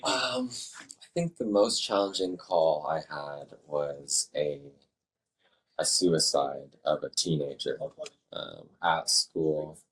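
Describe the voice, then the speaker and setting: A man working as a fire dispatcher, answering interview questions in a measured, thoughtful way with halting phrasing. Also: rumbling low, fairly bright tone, husky, low-quality recording.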